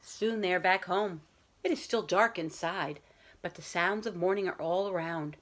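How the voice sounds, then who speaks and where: A woman's voice: fairly low pitch, moderate pace, fairly bright tone, slight background noise. A woman reading a picture book aloud as a school librarian, talking to young children in simple, explanatory phrasing.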